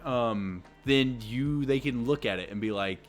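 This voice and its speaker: Young guy, deep voice, gets loud and excited then drops to a mumble, faint music under him. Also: unhurried. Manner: loud, excitable, swings between shouts and low mumbles. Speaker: podcast co-host. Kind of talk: casual chat. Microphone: desk USB microphone.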